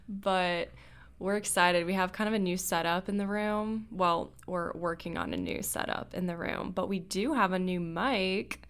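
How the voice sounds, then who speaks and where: Young woman from Nebraska, co-host, chatty and quick to laugh, slightly low voice. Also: animated, a bit bright, clean tone. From Nebraska, USA. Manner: chatty, laughs often, lots of "like". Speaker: podcast co-host. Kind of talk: casual chat.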